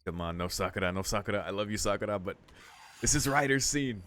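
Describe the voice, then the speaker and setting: A man's voice: fairly low pitch, fast, a bit bright. A man streaming a visual novel, reading the story text aloud and adding casual, musing commentary with some swearing.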